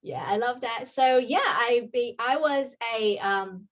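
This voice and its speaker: A woman's voice: fairly high pitch, takes it slowly. A woman from China with a Chinese accent, a native Chinese speaker, telling her own story as an interview guest. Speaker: guest, mindset coach.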